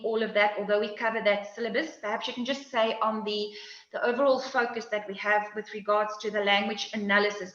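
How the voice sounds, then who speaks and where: A woman's voice: mid-range voice, a bit bright. Middle-aged lady teacher running a zoom panel, rambly questions, roomy muffled sound. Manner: long winding questions with fillers. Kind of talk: moderating a video panel. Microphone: laptop webcam, video call. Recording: roomy, dull call audio.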